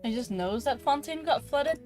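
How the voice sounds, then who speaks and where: A woman's voice: high-pitched, expressive, moderate pace, darkish tone, faint music behind. A woman streaming a game, chatting casually with giggly, exclaiming reactions. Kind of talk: casual livestream chat and reactions.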